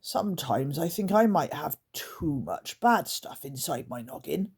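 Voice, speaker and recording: A woman's voice: low voice, expressive, unhurried, darkish tone, slightly rough, full character voice. A woman voicing Scratch, a sly male night creature who speaks in broken grammar and snickers. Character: sly scalp-picking male creaker creature.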